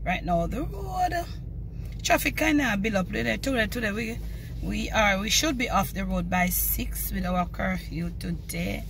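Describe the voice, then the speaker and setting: Jamaican woman, middle-aged, chatty vlog talk, Patois phrases, handheld phone recording, clear background noise. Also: medium pitch, expressive, measured pace. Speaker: family vlogger. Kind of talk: casual vlog narration. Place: in a car, then a large supermarket. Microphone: handheld phone camera.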